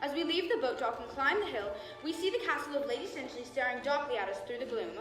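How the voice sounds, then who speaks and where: High voiced spooky radio mystery host woman acting, dramatic narration, distant and echoey w music. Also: lively intonation, fast talker. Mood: ominous. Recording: echoey hall from far off, loud music.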